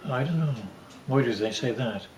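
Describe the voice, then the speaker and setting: An older man reminisces casually in a reverberant room. He rambles through family stories, stopping and restarting phrases as he goes, in a middle-pitched voice. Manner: rambling, halting with repeated false starts. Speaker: father telling family stories. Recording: echoey room.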